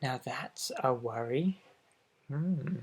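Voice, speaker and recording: A man reads a picture book aloud to young children in a gentle, expressive way, adding little asides straight to the listener. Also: medium pitch, drawn-out words, breathy edge. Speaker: storybook reader for children.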